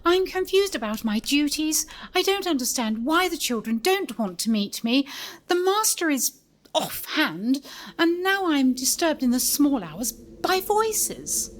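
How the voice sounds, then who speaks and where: Woman acting a high-voiced, earnest governess. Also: very animated, unhurried. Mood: troubled. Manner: polite, earnest. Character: prim, earnest young female governess, anxious and proper. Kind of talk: audio drama acting.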